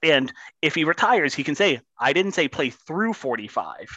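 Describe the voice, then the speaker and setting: A man with a bright, forceful voice argues football on a podcast, hammering his points by repeating them. His voice sounds dry and a little dull. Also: on the high side, normal speed, no echo. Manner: animated, builds points with repeated phrases. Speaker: podcast co-host. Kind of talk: casual sports chat.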